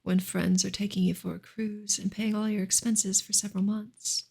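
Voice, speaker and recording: A woman reading a novel aloud in a soft, hushed, breathy ASMR style, often slipping into a whisper. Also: mid-range voice, level tone, normal speed. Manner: hushed, gentle delivery.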